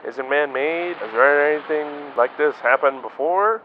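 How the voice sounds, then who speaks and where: An actor playing an eager, inquisitive radio field reporter describing a scene in an amateur radio drama. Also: male voice, a little high, measured pace, a little hiss or hum, natural voice. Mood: excited. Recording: tinny phone-like line.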